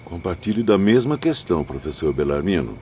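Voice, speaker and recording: A man's voice: low voice, sing-song, dark tone. An actor playing a thoughtful male spirit student who speaks formally and questioningly in an audiobook drama. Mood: pondering. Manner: formal, pondering, measured. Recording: muffled, faint noise, nighttime crickets.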